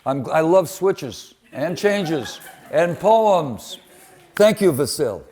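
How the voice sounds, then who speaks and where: A man reads poetry to a live gathering at a very unhurried pace. His phrasing is deliberate and dramatic, with weighty pauses, and he shifts into informal introductions in the same measured way. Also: on the high side, very animated, darkish tone. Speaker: poetry reader and presenter. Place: public poetry reading at a museum.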